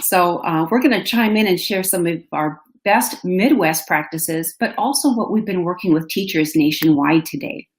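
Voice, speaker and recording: A woman's voice: fairly low pitch, moderate pace, few pauses. A woman with a Minnesota accent hosting an online education webinar, upbeat and effusive, speaking over a video call. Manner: upbeat, effusive, addresses audience directly. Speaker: webinar host. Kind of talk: enthusiastic webinar presenting. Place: indoor room, webcam.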